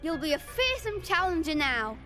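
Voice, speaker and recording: High-pitched, eager schoolboy. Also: big pitch swings, normal speed, pushed and bright, faint music behind, full character voice. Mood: encouraging. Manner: eager, encouraging, chatty. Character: eager young schoolboy duelling club organiser. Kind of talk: scripted video game dialogue.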